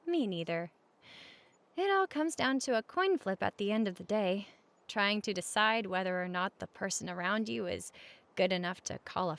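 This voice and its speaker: A woman playing a caring, teasing roommate in a comfort audio roleplay, speaking casually and candidly with a creaky voice at regular volume. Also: mid-range voice, fairly bright tone.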